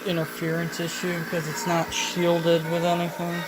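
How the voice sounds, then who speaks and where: A woman talking casually through a hands-on repair demonstration, halting and thinking aloud as she goes. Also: fairly low pitch. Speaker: vintage electronics repair hobbyist. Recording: noisy home video, steady background noise. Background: clear background noise.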